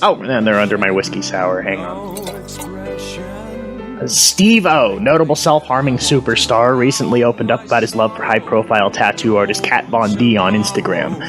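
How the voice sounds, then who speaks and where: Man playing a deep-voiced, stammering, washed-up gossip reporter. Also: moderate pace, music in the background, natural voice. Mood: flustered. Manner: stammering, distracted, digressive. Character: washed-up, rambling, hard-drinking male Hollywood gossip reporter. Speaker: entertainment reporter. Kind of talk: satirical fake news segment.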